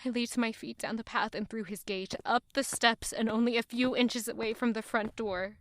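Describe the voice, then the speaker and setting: Actress as high-voiced, haunted young woman narrator. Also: fairly even intonation, normal speed, a bit bright, no echo, natural voice. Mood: bitter, seething. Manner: dramatic, intense storytelling delivery. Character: young woman traveler, haunted, bitter, quietly vengeful narrator. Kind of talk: first-person audio drama narration.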